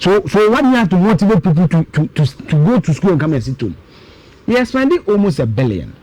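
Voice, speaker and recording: A man's voice: high-pitched, very animated, fast talker, mellow, dry recording, rough recording. A Ghanaian man in his thirties giving emphatic, argumentative budget commentary on a talk show, with rhetorical questions and repetition. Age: 30s. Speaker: guest political commentator.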